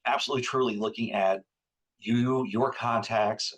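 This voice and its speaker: A man speaks as an academic expert being interviewed for the news. His pitch is average for a man. He explains in an unscripted soundbite style. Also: steady tone, moderate pace, fairly bright tone, rough recording. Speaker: cybersecurity professor, interviewee.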